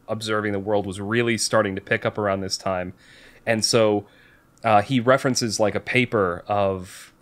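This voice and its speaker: Low-voiced man, halting casual podcast talk. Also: a bit bright. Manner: halting, thinking aloud as he explains. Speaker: podcast co-host. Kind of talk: casual book discussion.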